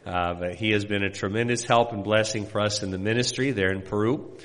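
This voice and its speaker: A middle-aged missionary pastor from Indiana addresses a congregation in a folksy, meandering way, filling his pauses with "uh". His voice sits fairly low, and it carries through the long echo of a big hall. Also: fairly even intonation, a bit bright. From Indiana, USA. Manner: folksy, rambling, many "uh" pauses. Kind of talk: sermon introduction to a congregation.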